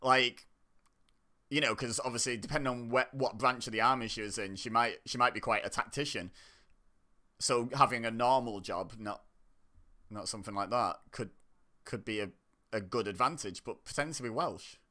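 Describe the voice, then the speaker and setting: Bright-voiced, joking young man reacting. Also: medium pitch, normal speed. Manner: chatty, joking, laughs often, self-interrupting. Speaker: online reaction host. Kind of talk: casual reaction commentary.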